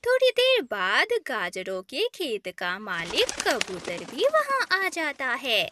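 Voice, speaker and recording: A woman's voice: sits high, huge pitch swings, slow, noisy background. A woman narrating a scripted children's story.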